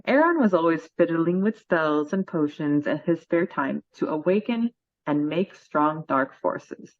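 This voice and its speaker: A chatty woman co-hosting a podcast, rambling and hunting for words. Her voice sits a little low. The recording is dry and slightly dull, as if from a basic mic. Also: animated, measured pace, no echo. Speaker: podcast co-host. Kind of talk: casual chat.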